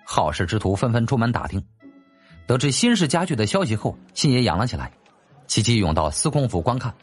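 A male narrator tells a historical tale in a dramatic, scripted style. His pitch moves animatedly, and there is soft music beneath the slightly less crisp voice. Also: sing-song, a little fast. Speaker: audiobook narrator.

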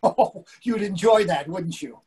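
A man's voice: high voice, a little slow, darkish tone, slightly rough, exaggerated voice. A man in his sixties playing an irritable, sarcastic husband in a readers theatre comedy over a video call. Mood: scornful. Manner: sarcastic, exasperated. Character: irritable, indignant older husband dining out.

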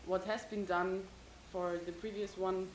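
A woman's voice: medium pitch, level tone, measured pace. A young woman student in the audience of a lecture hall, asking a long question with many hesitant fillers. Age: young adult. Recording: roomy lecture hall with faint hiss.

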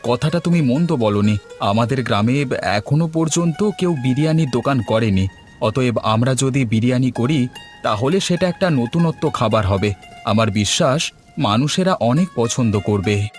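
Weary cartoon husband acted by a man, humble eatery owner, discouraged, medium pitch, background music. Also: animated, moderate pace, lightly acted. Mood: hopeful. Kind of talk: Bengali cartoon voice acting.

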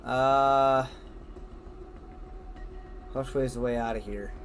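A man's voice: mid-range voice, steady tone, slow, slight background noise. A man doing casual gameplay commentary, thinking aloud and chatting informally to his viewers. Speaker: gamer, host of a let's play. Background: game music.

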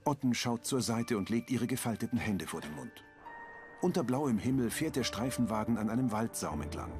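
A man reading the audio description of a crime series in a calm, matter-of-fact way. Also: medium pitch, a little fast, over music.

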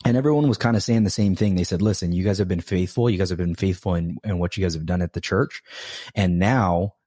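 A man in his thirties talks as a podcast guest with a very deep voice. He is easygoing and laughs often, circling back as he talks, and the recording is dry and close. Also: fast, warm tone, no echo. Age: 30s. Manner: laughing, easygoing, rambling with restarts. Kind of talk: casual interview chat.

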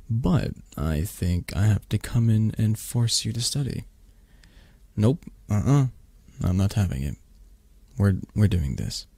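A low-voiced, protective young dragon boyfriend acted by a man murmurs close and dry in a romantic ASMR roleplay. He is tender and teasing, with a husky, breathy tone and lively rises and falls as he coaxes. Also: no room sound, heavily acted. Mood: teasing, insistent.

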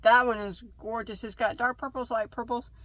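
A woman's voice: fairly high pitch, fairly even intonation, moderate pace, a bit bright, dry recording, low-quality recording. A woman in her thirties from Illinois, hosting a casual yarn haul chat in a craft room, enthusiastic and laughing at herself. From Illinois, USA. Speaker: crochet channel host.

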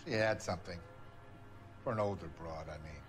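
A man's voice: sits low, fairly quick, faint music behind, lightly acted. A man playing a middle-aged, leering diner cook in a video game, talking in an offhand, suggestive way. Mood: wistful, leering. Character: older male diner cook, leering, world-weary. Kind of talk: naturalistic video game acting.

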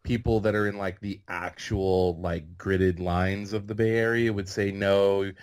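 A man in his thirties with a deep, low voice hosts a podcast over a video call. He's from California and talks in a relaxed, meandering way, restarting sentences and filling pauses as he goes. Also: clear, resonant tone. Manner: relaxed and rambling, with frequent fillers and restarts. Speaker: podcast host. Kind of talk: casual conversational interview.

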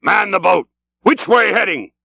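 A man's voice: a little high, moderate pace, gravelly, pushed and bright, no room sound, voice pushed up, heavily acted. A man voicing an obsessed, fierce-tempered old whaling captain, speaking in urgent archaic nautical commands and questions, as in a storybook reading. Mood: frantic, roaring.

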